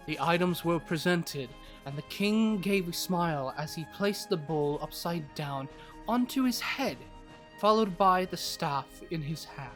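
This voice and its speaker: A man's voice: fairly high pitch, a bit bright. A man playing a fond, teasing adventurer who narrates a fantasy audio drama in the first person. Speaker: narrator and character.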